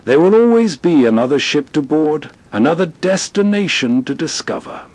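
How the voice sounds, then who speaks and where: A man's voice: on the high side, lively intonation, normal speed, darkish tone. A man hosting with scripted, bedtime-style narration. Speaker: host narrator.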